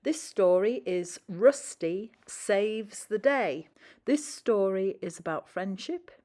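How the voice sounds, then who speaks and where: A woman reads a children's story aloud with a very lively, varied pitch. Her delivery is expressive, as a storyteller addressing young listeners. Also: takes it slowly.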